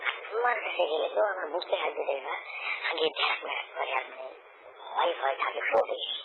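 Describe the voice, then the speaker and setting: A man's voice: on the high side, expressive, brisk, creaky. A man chatting casually and intimately on a phone call, often repeating his own phrases. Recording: noisy, narrow phone call recording.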